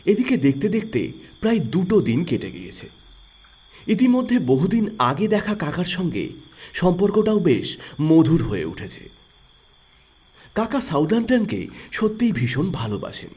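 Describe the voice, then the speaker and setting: A man narrating an audio story in an expressive storytelling manner. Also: on the high side, slight background noise. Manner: expressive, rising and falling storytelling.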